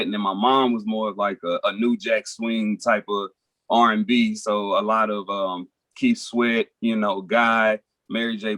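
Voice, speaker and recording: A young man from Nashville, a rapper, talking in a relaxed, rambling way full of fillers as a podcast guest. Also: on the low side, dry recording. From Nashville, Tennessee. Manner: laid-back, rambling, frequent fillers like "you know" and "um". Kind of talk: casual interview chat.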